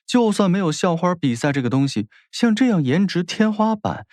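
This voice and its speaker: A man's voice: high voice, sing-song, moderate pace, a little mellow, no echo, clean studio sound. A man reading a novel as a dramatic scripted narration. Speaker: audiobook narrator.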